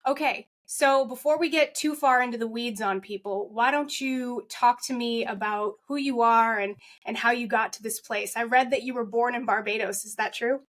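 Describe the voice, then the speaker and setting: Woman host, somewhat high voice, gushing and appreciative interviewer. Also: moderate pace, hardly any pauses, fairly bright tone. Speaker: podcast host. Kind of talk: interview hosting.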